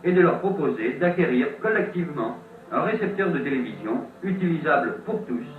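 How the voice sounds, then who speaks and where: Tinny vintage male newsreel narrator, animated pitch. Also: fairly quick. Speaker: documentary narrator. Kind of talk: scripted 1950s French newsreel narration. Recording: old tinny band-limited film soundtrack, roomy, distant.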